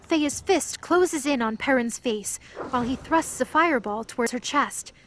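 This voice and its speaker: High-pitched woman narrating an audio drama. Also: moderate pace, a little hiss or hum.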